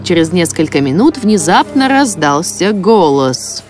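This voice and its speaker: A woman's voice: on the low side, very animated, a little slow, darkish tone. A woman reading scripted fairy-tale narration as the storyteller of a children's cartoon. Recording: music behind the voice. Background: music.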